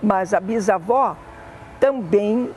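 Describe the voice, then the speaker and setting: An older woman with a Brazilian Portuguese accent, fondly recalling family memories in an oral history interview, often pausing to correct herself. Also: mid-range voice, a little mellow, slight background noise. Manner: fond, meandering reminiscing with self-corrections.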